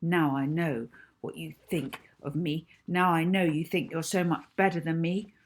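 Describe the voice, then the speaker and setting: A woman's voice: low-pitched, steady tone, unhurried. A woman voicing a hurt, snappy, defiant schoolgirl in a children's audiobook, heated and accusing. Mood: hurt, furious. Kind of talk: acted children's audiobook dialogue.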